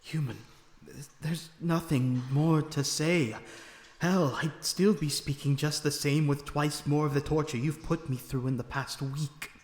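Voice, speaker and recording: A man playing a lonesome captive vampire in an audio roleplay, weary, bitter and sardonic, with measured dramatic phrasing. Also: on the high side, fairly even intonation, close to an everyday voice. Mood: weary frustration. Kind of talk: ASMR audio roleplay acting. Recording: echoey reverberant room with background noise.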